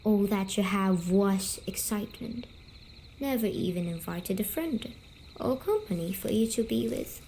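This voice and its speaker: Woman playing a slow, mellow, gentle demon woman. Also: medium pitch, lively intonation, slight background noise. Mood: hushed storytelling. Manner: slow, soothing, coaxing, softly spoken. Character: gentle, teasing female sleep paralysis demon, comforting storyteller. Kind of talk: ASMR story-telling roleplay audio.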